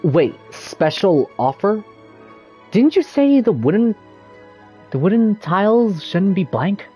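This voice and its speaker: A man's voice: high voice, sing-song, takes it slowly, dark tone, slightly rough, exaggerated voice. A man playing a young traveler in a video game, speaking in a dry, puzzled way. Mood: surprised. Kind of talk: video game character voice acting. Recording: music under the voice.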